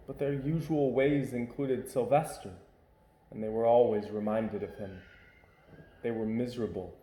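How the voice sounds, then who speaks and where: A man reads a children's picture book aloud at home, shaping each line expressively. His voice is mellow and dark-toned, and the room adds a noticeable echo. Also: mid-range voice. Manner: expressive, varied reading. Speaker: storyteller. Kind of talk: children's storybook read-aloud.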